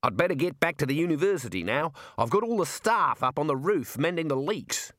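A man's voice: fairly high pitch, expressive, a little fast, fairly bright tone, dry recording, clean studio sound, voice pushed up. A man voicing a friendly, blunt university man with an Australian accent and a casual, matey manner in an audiobook. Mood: easygoing. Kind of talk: audiobook character voice.

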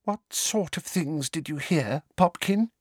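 A man voicing a fretful, doting middle-aged mother with a breathy, jerky delivery in a storybook reading. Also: a little high, very animated, moderate pace, a bit bright, no room sound, studio quality, voice pushed up. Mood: anxious, doting. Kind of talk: audiobook character voice.

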